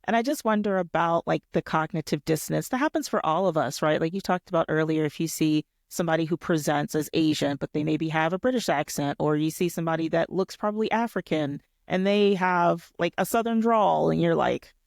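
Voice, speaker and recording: A woman's voice: deep voice, fairly quick, creaky, few pauses, no room sound, studio quality. A woman chatting casually as a podcast co-host. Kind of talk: casual chat.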